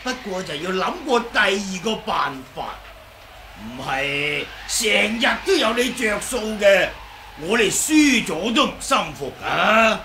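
Radio drama role: quarrelsome old kung fu master, very high bright voice, swooping, Hong Kong Cantonese. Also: male voice, big pitch swings, measured pace, full character voice. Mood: smug, scolding. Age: older. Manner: wheedling, argumentative, exclaiming and chuckling. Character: cheeky, blustering old male martial artist, quarrelsome sore loser. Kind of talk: 1980s radio drama acting. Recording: noisy old 1980s radio recording.

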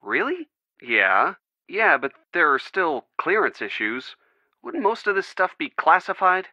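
Game role: young American boyfriend, loyal, caring, wry. Animated delivery, dry and slightly muffled recording. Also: male voice, on the high side, a little slow, no echo, exaggerated voice. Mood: earnest, concerned. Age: young adult. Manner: earnest, gently joking. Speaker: voice actor in a video game. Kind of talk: video game dialogue, phone conversation.

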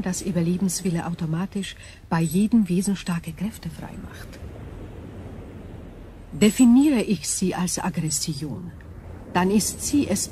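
Woman as cool, mellow alien crystal intelligence. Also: on the low side, slight background noise, close to an everyday voice. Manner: formal, precise, analytical phrasing. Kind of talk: radio drama acting.

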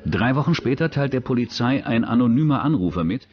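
A man reading scripted true-crime documentary narration in a measured, factual way. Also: medium pitch, animated, lo-fi sound.

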